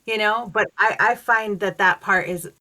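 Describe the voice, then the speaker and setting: A woman's voice: medium pitch, moderate pace, sharp tone, rough recording. A woman talking casually as a cast member in a group discussion.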